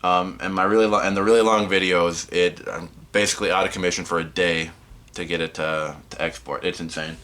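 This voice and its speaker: A man hosting a casual movie collection vlog, rambling and halting as he interrupts himself, slightly sniffly from a cold. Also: sits low, steady tone, a bit bright. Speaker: host of a movie collection video channel.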